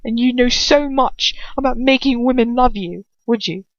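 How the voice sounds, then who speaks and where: A woman voicing a cold, possessive male villain in an audiobook, murmuring slowly with mocking condescension. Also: very high-pitched, dry recording, dull audio, voice pushed up. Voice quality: hushed, murmuring, breathy whisper. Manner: slow, malicious, condescending.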